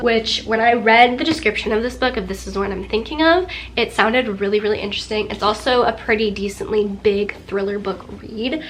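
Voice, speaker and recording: A woman's voice: a little high, fairly bright tone, slight background noise. A young woman vlogging about books in a chatty, gushing way, sounding nasal from a recent cold. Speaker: book vlogger. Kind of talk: casual sponsored book chat.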